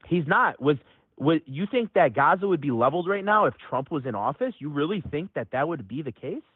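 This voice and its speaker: Excitable man on a tinny phone line. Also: brisk, slightly rough, no room sound. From Metro Detroit, USA. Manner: excitable, voice jumps high when agitated. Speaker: caller. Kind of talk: heated call-in debate.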